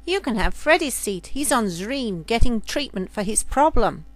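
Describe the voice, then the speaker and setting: A woman's voice: fairly high pitch, sing-song, normal speed. A woman narrator takes the part of a tall, skinny man from a travelling space circus. He is brusque and matter-of-fact, voiced in a storybook adventure manner.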